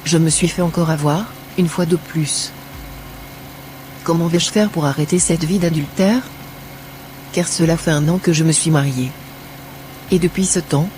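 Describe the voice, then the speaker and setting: A woman playing a guilt-ridden young wife in an animated drama, speaking in an earnest, formal way. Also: deep voice, a little hiss or hum, lightly acted.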